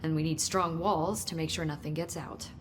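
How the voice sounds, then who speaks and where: A helpful woman researcher in a game, played by a woman, explains strange science with chatty, nerdy eagerness. Her voice sits fairly low and easy. A faint rumble sits under the dialogue. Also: steady tone, normal speed, natural voice. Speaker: research scientist guiding the hero.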